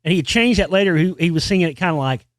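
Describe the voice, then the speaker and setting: A man hosting his own podcast in a loose, wandering monologue. He often restarts sentences and swears casually. His voice sits a bit high for a man, recorded dry with no room sound. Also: expressive, fast, no echo. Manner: experienced singer with a high singing voice. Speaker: podcast host. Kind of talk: casual solo monologue about music.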